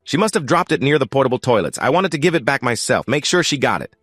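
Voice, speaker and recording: A calculating villain in an audio story, read by a male narrator. He sounds soft, easy and falsely friendly, lifting his pitch in lively, polite phrases. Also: fast, dry recording, studio quality. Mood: smooth, friendly. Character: smooth, calculating male predator feigning friendliness.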